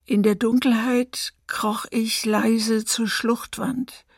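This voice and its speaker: A woman's voice: on the high side, little pitch movement, slow pace, creaky, slightly rough, dry recording, studio quality, exaggerated voice. A woman playing a massacre survivor giving grave, restrained testimony in a documentary radio drama. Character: woman survivor of a wartime massacre recounting her escape.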